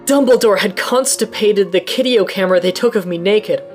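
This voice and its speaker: A woman giving a comedic dramatic reading of a fanfic in first person, deadpan and mock-dramatic, with deliberate mispronunciations. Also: medium pitch, soft background music. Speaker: dramatic reader.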